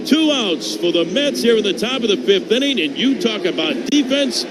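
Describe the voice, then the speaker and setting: A man calling live baseball play-by-play for radio, lifting his voice on the action and settling back between pitches. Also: expressive, moderate pace. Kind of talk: live radio sports commentary. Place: open-air ballpark broadcast booth. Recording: clear outdoor ballpark noise behind.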